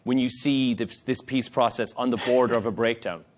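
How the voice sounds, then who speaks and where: A man's voice: mid-range voice, steady tone, moderate pace. A man presenting television news and interviewing guests as a studio anchor. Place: broadcast TV studio.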